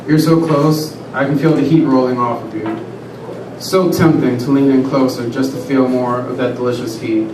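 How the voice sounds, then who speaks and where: A man reading his own first-person prose aloud at a live reading, in a quiet, measured way. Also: mid-range voice, steady tone. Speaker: student reader. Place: large room at a live reading. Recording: echoey room, far from the mic, noisy.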